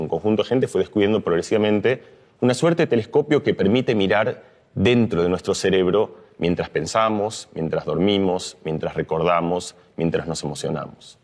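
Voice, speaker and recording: A man's voice: lowish voice, a little mellow. A middle-aged man from Argentina, speaking as a neuroscientist in a reflective explanatory talk, with fillers and restarts.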